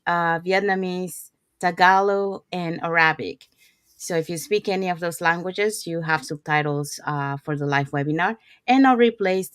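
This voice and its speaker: A woman's voice: fairly low pitch, a bit bright, clear, resonant tone, no echo, studio quality. A woman from Panama hosting an online live show, friendly and loose, with frequent fillers as she goes. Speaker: host.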